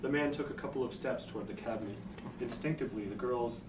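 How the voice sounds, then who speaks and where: A man's voice: mid-range voice, fast. A man reading scripted story narration as the narrator in a hotel room. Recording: echoey, noisy hotel room, distant.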